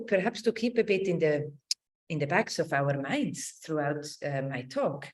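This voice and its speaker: Low-pitched woman lecturing haltingly. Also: darkish tone. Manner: halting, searching delivery with repeated words. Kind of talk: academic lecture with slides and read quotations. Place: indoors on a video call with screen sharing.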